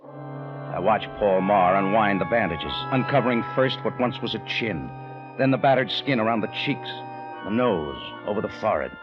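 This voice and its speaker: A man's voice: fairly low pitch, animated, moderate pace, lightly acted. Hard-boiled American man played by an actor, wry and clipped, 1940s radio detective delivery, dull old recording with music. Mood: brooding narration. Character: tough, unflappable American adventurer narrating his own case. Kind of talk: 1940s radio detective acting and first-person narration.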